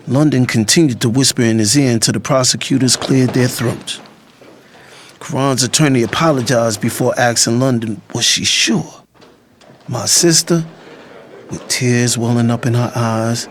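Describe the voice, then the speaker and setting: A man's voice: medium pitch, a little hiss or hum, natural voice. An actor playing a resolute, self-sacrificing younger brother who narrates his story in the first person. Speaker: audiobook narrator.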